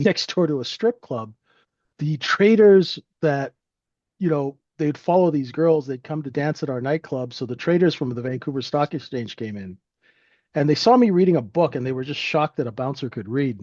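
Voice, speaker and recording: A man's voice: mid-range voice, animated, moderate pace, mellow, dry recording, lo-fi sound. A man in his fifties from Canada, telling his life story in a rambling, friendly way with lots of fillers. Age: 50s. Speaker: guest trader. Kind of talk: casual interview storytelling.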